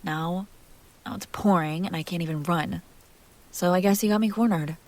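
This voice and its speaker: A woman playing a jealous, hurt young woman confronting her crush in an intimate audio roleplay, halting, sarcastic and emotionally raw. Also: fairly low pitch, lightly acted. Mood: flustered, guarded.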